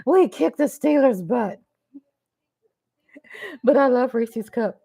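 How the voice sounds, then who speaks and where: Slow-talking playful Southern woman. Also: a little high, lively intonation, vocal fry, dark tone, husky. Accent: Texas. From the American South. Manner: playful, teasing, chatty. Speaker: live stream host. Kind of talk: casual live chat.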